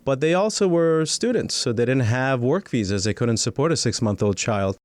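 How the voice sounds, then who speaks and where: A man's voice: mid-range voice, animated, moderate pace, no room sound. A man, a lawyer and guest, telling his life story in a studio podcast interview, articulate and earnest.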